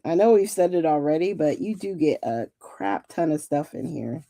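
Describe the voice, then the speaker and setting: A woman's voice: low-pitched, normal speed, no room sound. A woman co-hosting a scrapbooking livestream in casual, joking chat, sometimes trailing off into murmurs as she works. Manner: lively, joking, trails off into murmurs while working. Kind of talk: casual chat while crafting.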